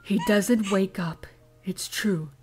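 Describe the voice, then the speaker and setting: A woman playing a calm, reassuring zebra healer with a husky voice, who speaks in rhyme. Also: mid-range voice, takes it slowly, faint music behind, close to an everyday voice.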